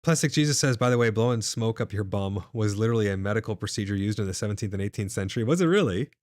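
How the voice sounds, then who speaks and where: A man's voice: fairly low pitch, animated, racing, darkish tone, no room sound, studio quality. A man hosting a live gaming stream, reading chat aloud and answering viewers casually, his voice a little nasal and stuffed up. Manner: casual, reads chat messages aloud and replies. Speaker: livestream host.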